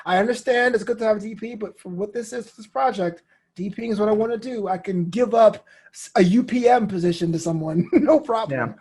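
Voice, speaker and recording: A man speaking as a guest in a casual interview, with a husky voice and a storytelling manner, acting out imagined quotes as he goes. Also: sits high, brisk, darkish tone. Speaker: interview guest, actor and podcast host.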